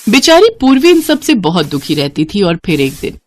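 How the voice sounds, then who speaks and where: Medium-pitched woman narrating a story. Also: sing-song, normal speed. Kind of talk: scripted children's story narration.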